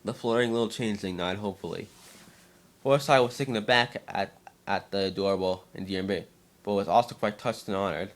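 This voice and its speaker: Low-voiced man narrating a story. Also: steady tone, a little fast. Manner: stumbles and repeats words while reading. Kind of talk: scripted story narration, amateur dramatic reading.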